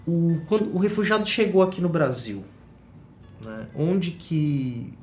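A man's voice: on the high side, very animated, measured pace, a little mellow, soft background music. A man hosting an interview, asking long, rambling questions. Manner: asks long rambling questions, frequent tag "né".

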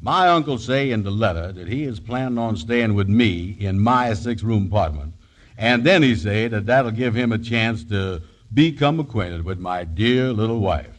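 An actor playing a worried, slow-witted scheming man in a 1940s radio comedy, with a folksy drawl, ungrammatical talk and mangled big words. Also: lowish voice, animated. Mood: flustered. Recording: old 1940s radio recording.

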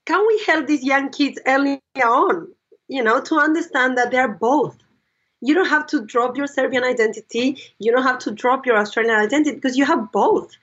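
Woman, slightly high husky voice, earnest explainer, dull lo-fi sound. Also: fairly bright tone. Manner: earnest, checks understanding with the listener. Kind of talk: conversational explanation.

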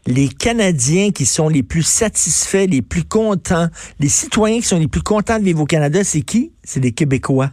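A man's voice: a little high, expressive, moderate pace, few pauses, darkish tone, no room sound, studio quality. A man with a Quebec French accent hosting a radio talk show, prompting his co-host with news items. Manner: conversational, prompting.

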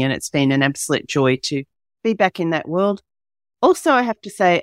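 An Australian woman hosts a podcast in a chatty, rambling way, her voice croaky and a little hoarse. The sound is dry and close, with no room around it. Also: deep voice, dry recording. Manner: chatty and upbeat, rambling asides. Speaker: podcast host. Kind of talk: casual podcast chat.

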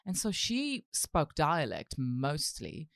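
A woman, chatting as an interview guest, animated and laughing often with many restarts. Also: low-pitched, slow, creaky, dry recording, clean studio sound. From Italy (Milan). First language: Italian. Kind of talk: casual interview chat.

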